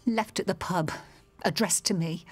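A tense wife hiding an affair. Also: female voice, lowish voice, normal speed, breathy edge. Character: frightened married woman having an affair.

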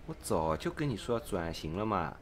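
A man plays an impatient, eager underling in an amateur radio drama. His lowish voice swings widely in pitch, full of theatrical exclamations and chummy wheedling. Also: big pitch swings, a little fast, a crowd in the background. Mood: eager, questioning. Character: eager, impatient male purchasing agent, chummy with his boss.

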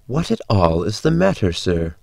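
A man's voice: on the low side, big pitch swings, normal speed, vocal fry, background noise. A man playing a prim, long-suffering spaceship crewman, formal and dry, in a science fiction comedy audio drama. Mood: mildly polite.

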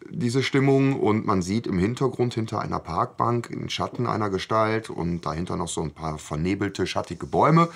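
A man with a fairly low voice co-hosts a podcast. His delivery is casual and conversational. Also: normal speed, gravelly, few pauses, husky. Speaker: podcast co-host. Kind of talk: casual chat.

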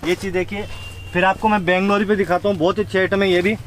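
A man who owns a wholesale lehenga shop, giving a sales pitch and repeating prices as he shows his goods. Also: little pitch movement, fast, a little hiss or hum.

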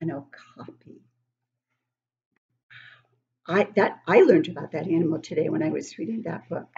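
A woman in her sixties reading a picture book aloud to young children, expressive and encouraging, stopping to put questions to them. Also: sits low, normal speed, gravelly, lo-fi sound. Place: living room at home, indoors.

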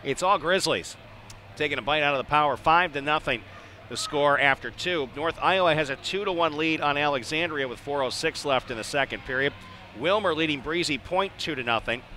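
A man's voice: fairly high pitch, sharp tone, slight background noise. An American man giving a live intermission report as a hockey play-by-play announcer. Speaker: play-by-play sportscaster.

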